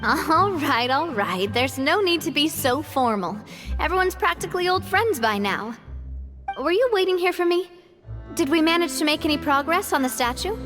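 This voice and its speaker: A high, youthful voice as an earnest young woman who leads with brave composure and a wistful streak. Her game dialogue is expressive and measured, with music playing softly behind it. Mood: friendly, composed. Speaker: video game voice actor.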